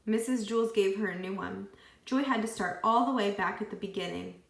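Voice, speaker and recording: Woman reading a children's story, slightly gravelly. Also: mid-range voice, reverberant. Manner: animated, deliberate phrasing with acted dialogue. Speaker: read-aloud storyteller. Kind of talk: expressive children's book read-aloud.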